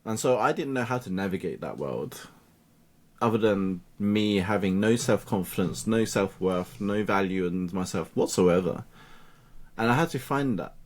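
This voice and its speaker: A man's voice: fairly low pitch, moderate pace. A man in his thirties, a native Cantonese speaker, telling his life story as an interview guest, often acting out the voices of other people. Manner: animated, acts out quoted voices of family and peers.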